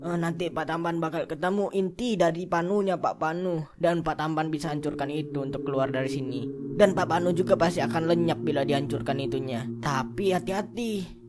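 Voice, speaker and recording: A man with a slightly high voice voicing a young cartoon ghost guard, slangy and stammering, a swaggerer who is easily cowed. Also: brisk, slight background noise, close to an everyday voice. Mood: cowed, nervous. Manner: slangy, stammering, repeating words. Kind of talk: comic amateur cartoon voice acting. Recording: loud music under the voice. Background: loud music.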